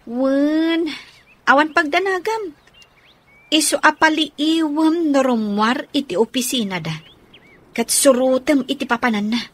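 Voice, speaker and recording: A woman acting a high-voiced Ilocano godmother. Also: measured pace, fairly bright tone, no echo. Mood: reassuring. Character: caring, take-charge godmother arranging a plan. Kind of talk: radio drama acting.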